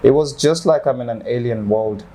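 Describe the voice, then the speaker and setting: A young man with a Nigerian accent, telling his personal story as an interview guest in an earnest, halting way. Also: mid-range voice, warm tone, a little hiss or hum. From northern Nigeria. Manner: earnest, halting, with many ums. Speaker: guest, football player.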